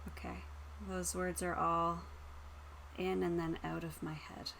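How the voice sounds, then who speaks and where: A woman's voice: lowish voice, little pitch movement, a little slow, creaky, fairly bright tone, rough recording. A woman chatting casually as a player in an online tabletop roleplaying session. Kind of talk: casual chat. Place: indoors, online call with stream. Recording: faint background noise.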